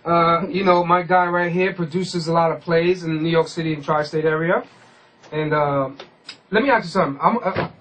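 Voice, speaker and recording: A man's voice: on the high side, steady tone, normal speed, fairly bright tone, rough recording. A man hosting a casual hip-hop radio interview, rambling informally and using slang. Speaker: radio host.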